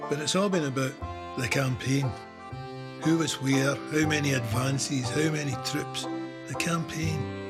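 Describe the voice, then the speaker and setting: A man's voice: medium pitch, lightly acted. An actor playing a plain-spoken Ayrshire farmer and war veteran, telling his memoir in a reflective, conversational Scottish voice. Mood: reflective, earnest. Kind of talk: dramatised first-person memoir narration.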